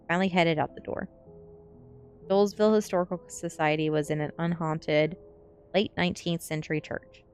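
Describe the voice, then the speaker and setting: A woman streamer reading a book ASMR style and chatting casually with viewers in a playful way. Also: low voice. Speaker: VTuber streamer.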